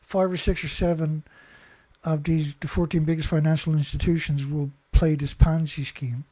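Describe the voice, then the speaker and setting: Male commentator, rambling and indignant, dark tone, dry close sound. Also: fairly high pitch, level tone, normal speed, no room sound. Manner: rambling, indignant, many restarts and "right?" tags. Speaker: commentator reading news. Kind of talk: casual monologue reading headlines with commentary.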